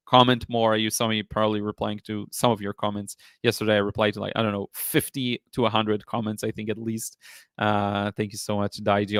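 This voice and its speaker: A man hosting a casual live stream as an art teacher, chatting in a rambling, appreciative way, his voice slightly hoarse from talking. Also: on the low side, a bit bright, no room sound. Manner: rambling, appreciative, often thanking viewers. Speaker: live stream host, watercolor painting teacher.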